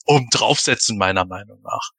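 A man's voice: on the low side, fairly quick, gravelly, sharp tone, slightly rough, no echo, clean studio sound. A man chatting casually as a panelist on a fan podcast.

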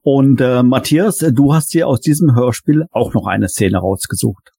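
A man's voice: medium pitch, a little slow, gravelly, noisy background. A man hosting a podcast, moderating a casual chat. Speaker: podcast host.

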